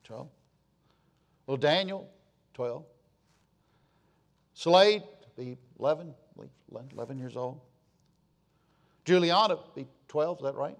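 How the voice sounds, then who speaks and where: Man, 60s, pastor; unhurried, measured sermon delivery with repeated lines and loud emphatic swells. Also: a little high. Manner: slow, repetitive preaching with rising emphatic swells.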